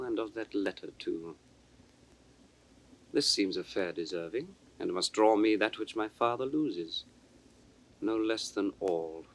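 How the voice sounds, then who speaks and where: An actor playing a cold, ambitious young villain, measured and calculating, in a classical Shakespearean soliloquy. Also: male voice, lowish voice, rough recording. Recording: faintly hissy vintage recording.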